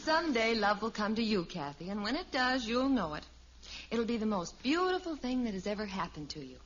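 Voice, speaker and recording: An actress playing a sensible, reassuring, gently chiding mother in a 1950s radio sitcom. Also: fairly high pitch, very animated, fairly quick. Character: Margaret, patient, sensible, gently wry mother. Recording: muffled old radio recording.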